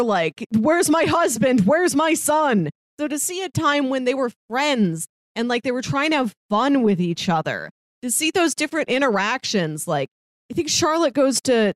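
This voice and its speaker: A woman co-hosting a podcast, talking casually and enthusiastically in an animated, chatty way. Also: a little high, no echo. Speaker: podcast co-host. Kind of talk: casual discussion of a TV drama.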